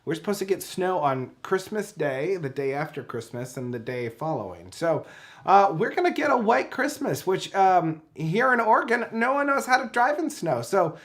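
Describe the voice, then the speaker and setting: A man's voice: high voice, big pitch swings, moderate pace, fairly bright tone. A man appearing as a guest on a talk show, chatting in a playful, joking way. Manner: playful, jokey. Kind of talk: casual talk show chat. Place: home in Oregon.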